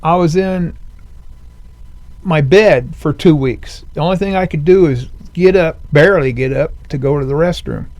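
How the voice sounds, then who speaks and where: Older man with a strained, gravelly voice. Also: a little mellow. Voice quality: high-pitched, strained since surgery. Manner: rambling, plainspoken, frequent uh fillers. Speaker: interview guest, veteran. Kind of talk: conversational personal story. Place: veteran center studio.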